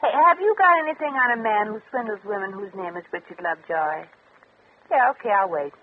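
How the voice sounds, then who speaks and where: An actress playing a brash, wisecracking, streetwise fortune teller in 1950s radio comedy, with sardonic, slangy delivery. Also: female voice, medium pitch, lively intonation, brisk, natural voice. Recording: tinny, hissy early-1950s radio broadcast.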